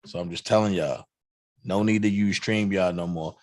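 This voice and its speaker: A hoarse man hosting a livestream, chatting casually and hyping up shout-outs to fellow channels. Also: deep voice, steady tone, moderate pace, no room sound. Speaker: livestream host.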